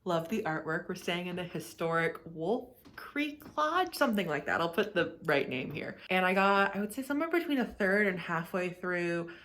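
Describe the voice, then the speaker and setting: A woman's voice: fairly low pitch, sing-song, moderate pace, hardly any pauses, a bit bright. A woman chatting casually and enthusiastically in a bookish, rambling reading vlog. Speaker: book vlogger and author. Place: on a road trip, in car and hotel rooms.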